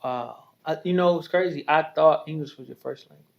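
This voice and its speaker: A man's voice: fairly high pitch, brisk, vocal fry, muffled recording. A man hosting a podcast, leading an interview in a chatty, encouraging way, often adding "right?" and "you know". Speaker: podcast host.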